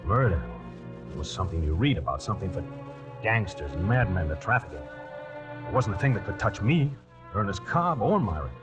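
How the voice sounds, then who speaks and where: A low-voiced American man, nervous and hopelessly smitten, in an old radio thriller. He is hesitant and confessional. The sound is dull and vintage, with prominent dramatic music behind him. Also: big pitch swings, moderate pace. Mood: brooding confession. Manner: hesitant, stammering in dialogue, confessional when narrating. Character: meek, love-struck, nervous male accomplice and narrator. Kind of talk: old-time radio suspense acting.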